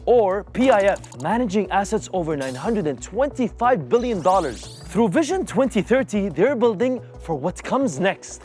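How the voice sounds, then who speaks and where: Guy in his 30s from the uae, lively pitch, upbeat pitchy narration over music. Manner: upbeat, persuasive storytelling. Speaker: host. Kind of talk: scripted narration.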